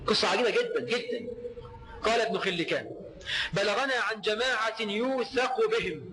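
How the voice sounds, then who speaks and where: A male preacher rattles through his citations at breakneck speed, repeating phrases as he goes. His voice is high and bright with a sharp edge. Faint noise sits under the clip. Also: poor audio. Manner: excited, rushed, repeats phrases. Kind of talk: rapid preaching, citing sources.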